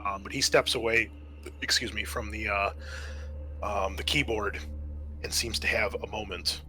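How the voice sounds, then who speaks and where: A man's voice: lowish voice, fairly even intonation, moderate pace, pushed and bright, slight background noise. A man acting as game master in a tabletop roleplaying game, explaining rules and narrating what the players' characters do.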